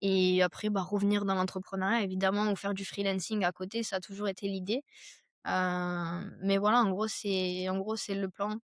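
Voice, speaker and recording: A woman's voice: medium pitch, flat delivery, moderate pace, no room sound, studio quality. A young adult woman from France, a podcast guest and entrepreneur, talking things through in long winding runs with many fillers. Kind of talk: casual conversational interview.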